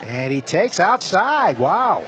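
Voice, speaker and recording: A man's voice: high-pitched, very animated, slow, fairly bright tone. A man doing live play-by-play commentary as a baseball announcer. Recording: clear outdoor ballpark noise. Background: outdoor stadium ambience.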